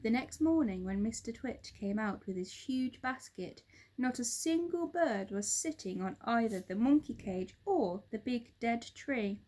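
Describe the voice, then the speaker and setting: A woman reading a children's story aloud in an expressive narrating style. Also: a little high, unhurried. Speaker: storybook reader, teacher.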